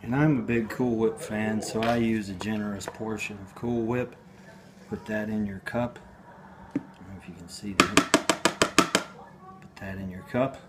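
A man in his forties hosting a casual cooking demo in his home kitchen, talking slowly and deadpan with many hesitations. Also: fairly low pitch, background noise. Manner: slow, rambling, deadpan, with hesitations. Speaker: home cooking video host. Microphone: phone camera on the counter.

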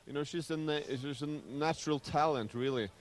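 A man in his forties with a Norwegian accent, giving relaxed, halting ski commentary into a handheld mic outdoors. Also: on the high side. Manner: relaxed, halting, many "you know" fillers. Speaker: ski expert guest. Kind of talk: casual interview commentary. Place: outdoor ski-resort cafe at night. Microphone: handheld microphone.

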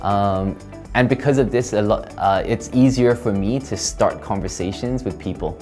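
Man from Hong Kong, deep voice, earnest interview talk, guitar music underneath. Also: normal speed. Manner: earnest, steady, filler-laden answers. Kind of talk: casual interview answers. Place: office room indoors. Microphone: lapel mic. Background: soft guitar music.

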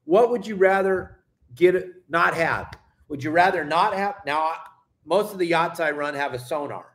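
A man's voice: on the high side, moderate pace, fairly bright tone. Folksy, emphatic middle-aged male fishing guide. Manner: folksy and emphatic, addresses viewers as gang. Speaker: fishing guide and boat captain. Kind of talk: casual video lesson to camera. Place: indoor room at home.

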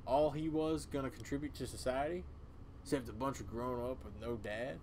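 A man's voice: medium pitch, fairly even intonation, moderate pace, a little mellow, a little hiss or hum, close to an everyday voice. A man voicing a grim, self-righteous old small-town cult elder with a folksy way of talking. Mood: cold justifying.

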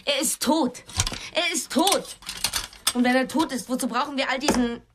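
Woman playing an embittered, anxious wife, high-voiced. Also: normal speed, background noise, close to an everyday voice. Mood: fed up, agitated. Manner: emphatic, accusing, short clipped phrases. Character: embittered, anxious wife, jealous, demanding and fearful. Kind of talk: radio drama acting.

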